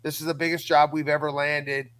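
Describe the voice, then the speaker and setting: An enthusiastic man with a bright, forceful voice pitched a little high. He talks casually and emphatically as a guest, stammering over repeated words, in a very dry, close sound. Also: little pitch movement, a little fast, clear, resonant tone, dry recording. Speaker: podcast guest, co-creator of an audio drama. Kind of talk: casual podcast chat.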